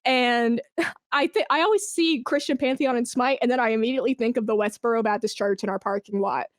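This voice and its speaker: Higher-voiced woman chatting casually. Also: fairly quick, dry recording, clean studio sound. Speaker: podcast guest, esports brand manager. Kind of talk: casual interview chat.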